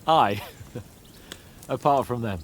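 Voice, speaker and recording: A man's voice: mid-range voice, extremely slow, slight background noise. A man playing a good-humoured senior retainer who leads his fellows in a medieval video game. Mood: easy-going.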